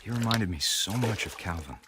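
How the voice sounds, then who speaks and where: A man's voice: on the low side, expressive, fairly quick, noisy background, lightly acted. A man playing a grieving father reading a reflective, melancholy letter to his wife in a video game. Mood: sorrowful. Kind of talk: scripted game narration, letter read aloud.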